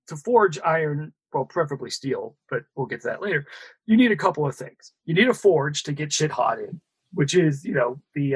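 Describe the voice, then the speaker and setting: A man's voice: on the high side, slightly rough, rough recording. A man, joking crudely and rambling through his notes. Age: 30s. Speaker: podcast co-host. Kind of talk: casual banter podcast.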